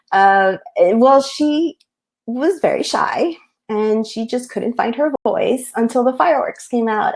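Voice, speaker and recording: Animated woman on a video call. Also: on the high side. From United States. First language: Spanish. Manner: animated, hesitant with many ums. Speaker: picture book author. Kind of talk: casual webinar talk.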